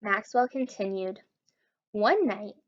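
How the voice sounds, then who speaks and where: A woman reading a story aloud to young children as a teacher, animated and encouraging, talking directly to her listeners. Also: medium pitch, slow pace, muffled recording. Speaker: children's story time reader and literacy teacher.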